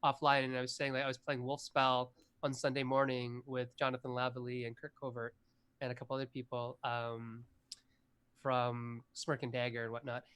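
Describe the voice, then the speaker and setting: An enthusiastic man in his forties rambles happily about his gaming tastes, dotting his talk with fillers. His voice is bright and sharp, sitting at a middle pitch. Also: completely flat delivery, moderate pace, clear, resonant tone. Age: 40s. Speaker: game designer guest. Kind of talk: casual interview chat.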